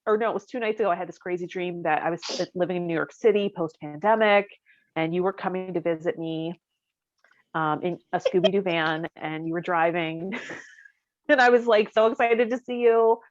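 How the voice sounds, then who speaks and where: A woman's voice: on the low side, moderate pace, dry recording. A woman co-hosting a podcast, chatting casually in a musing, giggly way. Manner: giggly, laughs often while talking. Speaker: podcast co-host. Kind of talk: casual chat. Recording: dull, lo-fi, dry audio.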